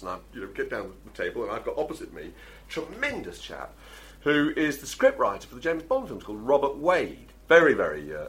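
A man co-hosting a podcast, telling animated anecdotes in casual chat and laughing often. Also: sits low. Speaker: podcast co-host.

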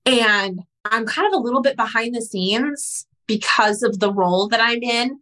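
A woman in her thirties whose first language is Spanish, telling her story in an interview in a frank, animated way and swearing casually. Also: a little high, moderate pace, rough recording. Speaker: guest entrepreneur.

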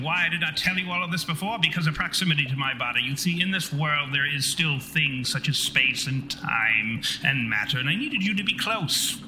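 A man's voice: mid-range voice, bright, forceful tone. Man voicing a pompous, piercing vengeful ghost. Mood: vengeful, aggrieved. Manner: pompous, formal, theatrical. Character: vengeful, long-winded ghost of a conceited male parapsychologist. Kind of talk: tabletop horror role-play. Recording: reverberant hall sound, noisy ambience behind.

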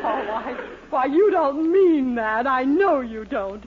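A fussy, grand middle-aged matron who stammers and backpedals, her high voice swooping all over. Heard on a hissy old radio broadcast. A studio audience roars behind her. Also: animated, takes it slowly, narrow, tinny audio, over a noisy crowd. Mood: wheedling. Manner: flustered, stammering, put-upon grandness. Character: fussy haughty middle-aged woman employer. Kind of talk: 1940s radio sitcom acting.

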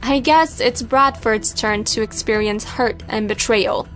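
A woman's voice: fairly high pitch, a little slow, a bit bright, faint music behind. A woman acting emotional female characters in a narrated family drama story. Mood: bitter. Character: emotional women in family drama, tearful or bitter. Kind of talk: acted dialogue in a narrated story.

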